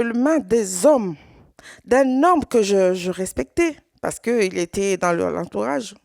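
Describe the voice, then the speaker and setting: Halting woman with a gravelly voice. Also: a little high, a little mellow, dry recording, studio quality. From Congo. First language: Swahili. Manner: halting, with many repeated words and restarts. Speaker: survivor giving testimony. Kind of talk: personal testimony at a conference.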